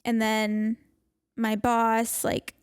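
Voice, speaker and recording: A woman's voice: fairly high pitch, completely flat delivery, extremely slow, clean tone, no room sound, clean studio sound. A young woman co-hosting a podcast, chatting in an upbeat way with lots of fillers. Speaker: podcast co-host. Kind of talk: casual chat.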